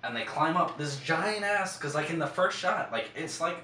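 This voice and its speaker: Bright-voiced young man, roomy recording. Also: on the high side, moderate pace. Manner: animated storyteller, swears casually, acts out moments. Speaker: podcast co-host. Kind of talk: casual film chat. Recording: roomy, distant mic, faint background noise.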